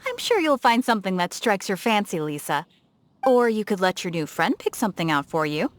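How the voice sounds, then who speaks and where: A woman's voice: mid-range voice, very animated, fairly quick, no echo, close to an everyday voice. A woman voicing an eager, effusive female souvenir shopkeeper in a video game. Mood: friendly. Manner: bubbly, effusive saleswoman. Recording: faint game music behind.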